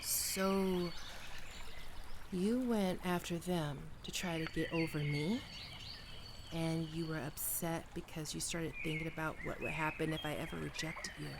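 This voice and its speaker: A performer playing a gentle young best friend with a secret crush, speaking softly and hesitantly in an ASMR roleplay, comforting and tender. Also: mid-range voice, steady tone, a little slow, background noise, natural voice. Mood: stunned. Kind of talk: soft-spoken ASMR roleplay.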